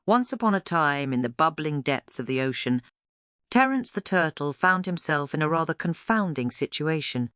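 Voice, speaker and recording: Low, crisp-voiced woman storyteller. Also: expressive, normal speed, fairly bright tone, no echo, clean studio sound. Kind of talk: scripted children's read-aloud narration.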